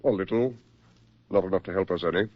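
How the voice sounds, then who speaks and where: A man acting a brisk, persuasive military psychiatrist with genteel, insistent delivery in a 1940s radio drama. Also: low-pitched. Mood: grave, weary concern. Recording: muffled 1940s radio recording.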